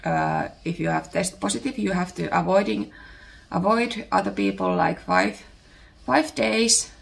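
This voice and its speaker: A woman in her thirties from Finland, with a Finnish accent, chatting casually as a podcast host while her voice recovers from illness, pausing to search for words. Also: medium pitch, vocal fry. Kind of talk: casual chat. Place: home.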